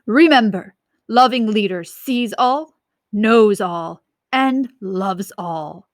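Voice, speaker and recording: A woman voicing a creepy, pompous male dictator in a storybook, commanding and deliberate. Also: medium pitch, lively intonation, no echo, studio quality. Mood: solemn, controlling.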